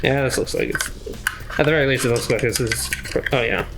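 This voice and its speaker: A man chatting casually and haltingly as he thinks aloud during hands-on work. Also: mid-range voice, heavy background noise. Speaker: PC builder helping a friend. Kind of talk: casual hands-on chat while working. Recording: loud music behind the voice.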